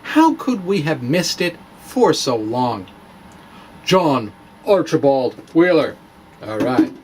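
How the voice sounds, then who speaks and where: A man presents a homespun science vlog in a theatrical, emphatic way, his pitch swinging widely. He takes his time, slipping between dramatic reading and playful show-and-tell. Some background noise sits under his voice. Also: very animated, slow, darkish tone. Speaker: science vlogger presenting his own blog.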